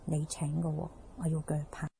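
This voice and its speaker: Actress doing a sly whiny office rival, cantonese radio drama, low-key mellow tone w/ fry, bit of noise behind. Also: female voice, monotone, poor audio. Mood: coy. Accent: Hong Kong Cantonese. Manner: whiny, needling, drawn-out sentence endings. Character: sly, flattering, scheming female office rival.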